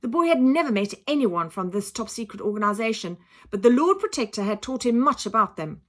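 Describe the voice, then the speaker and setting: A woman reading a children's book aloud in an expressive, dramatic, emphatic style, like a teacher telling a story to a class. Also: on the high side, brisk. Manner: dramatic, emphatic, acts out sound effects. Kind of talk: expressive children's audiobook narration.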